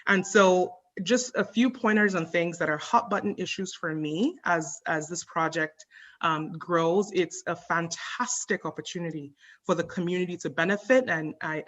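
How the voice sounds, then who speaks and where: A woman with a notably low-pitched voice speaks at a public meeting. She is earnest and measured, pausing often on filler words as she lays out her expectations as a committee member. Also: a bit bright. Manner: earnest, measured, frequent ums.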